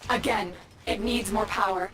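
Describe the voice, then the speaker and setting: A woman's voice: medium pitch, fairly even intonation, moderate pace, very noisy, natural voice. A woman voicing an urgent mentor guide. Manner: urgent instructions. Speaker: mission guide.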